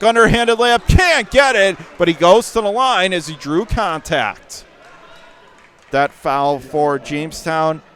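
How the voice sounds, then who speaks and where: Excited high-voiced basketball play-by-play man. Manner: rapid clipped calls that rise sharply and get shouted on big plays. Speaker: radio play-by-play announcer. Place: high school gym.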